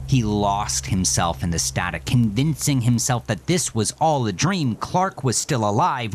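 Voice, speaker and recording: A man's voice: on the low side, slight background noise. A man narrating a scripted horror story with intense, dramatic delivery that sinks into whispers.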